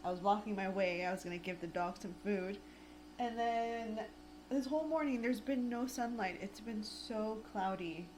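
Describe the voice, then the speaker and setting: A woman in her thirties chatting casually at home in a rambling vlog, full of "like" fillers, her throat a little raspy. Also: medium pitch, normal speed, background noise. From United States. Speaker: lifestyle vlogger. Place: home, indoors.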